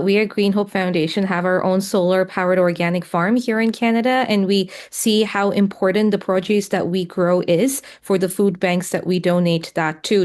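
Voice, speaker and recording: A woman's voice: lowish voice, a little mellow. A woman moderating a conference panel, introducing the next speaker in an upbeat way with a few fillers.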